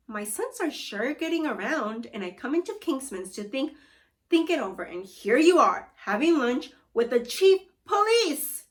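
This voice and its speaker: A woman voicing an exasperated, bewildered storybook father, recounting things in a rambling, insistent way. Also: fairly high pitch. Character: exasperated, bewildered father demanding an explanation from sons.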